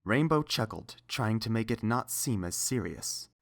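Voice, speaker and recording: A woman's voice: rumbling low, lively intonation, measured pace, vocal fry. A woman reading a scripted story aloud as the narrator.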